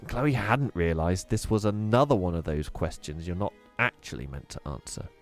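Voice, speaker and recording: A man reading a children's book aloud in an expressive, animated way. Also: low-pitched, normal speed, faint music behind. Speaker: storyteller.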